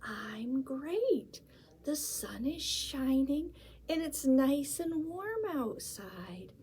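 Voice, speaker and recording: A woman voicing a calm grown-up mouse who explains things in a soft, half-whispered, sing-song way. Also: high voice, very slow, a little hiss or hum, pitched above the performer's own voice, exaggerated voice. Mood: patient.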